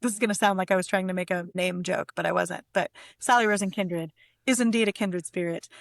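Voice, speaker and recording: A woman's voice: fairly low pitch, fairly even intonation, a little fast, gravelly, no echo. Woman poet in a dry, close recording. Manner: effusive, conversational. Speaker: guest poet and editor. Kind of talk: casual literary conversation with poem reading.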